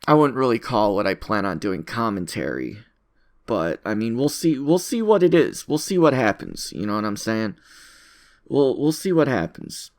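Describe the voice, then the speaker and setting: A man talking casually in an unscripted audio log, rambling in a self-deprecating way and repeating his phrases. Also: mid-range voice. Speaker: content creator recording an audio log.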